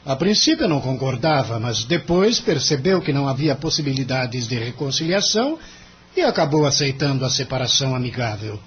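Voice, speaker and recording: A man's voice: mid-range voice, big pitch swings, fairly quick, lo-fi sound, slight background noise, lightly acted. An actor playing a stern, impatient senior lawyer in an audio drama, formal, curt and condescending with his junior. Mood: businesslike. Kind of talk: radio drama acting.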